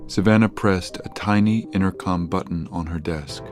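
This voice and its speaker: An actor serving as the narrator of an audio drama, reading scripted scene descriptions. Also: male voice, deep voice, normal speed, over music, close to an everyday voice. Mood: measured.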